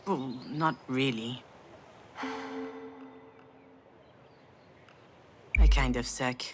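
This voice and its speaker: A woman playing a sardonic, self-blaming yet tender mother in a dramatic video game, frank and emotionally expressive. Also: rumbling low, a little slow, slight background noise. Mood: guilt-ridden, sorrowful. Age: adult.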